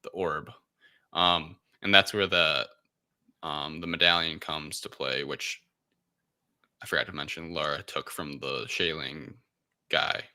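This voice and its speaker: Male podcast co-host, low-pitched with a crisp, clean tone, loose and halting in a casual recap. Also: steady tone, pushed and bright. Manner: hesitant, rambling delivery. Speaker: podcast co-host reviewing films. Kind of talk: casual film recap chat.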